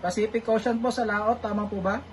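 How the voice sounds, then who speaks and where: A man's voice: sits high, fairly even intonation, a little fast, very noisy. A polite man making a casual two-way radio call as an amateur operator, repeating himself for clarity. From Philippines.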